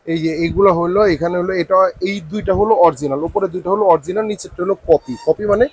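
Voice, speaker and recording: Male Bangladeshi shop salesman, high husky voice, informal showcase delivery with repeated price patter. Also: brisk, no echo. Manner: repetitive sales patter, some English words. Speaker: shop salesman presenting products.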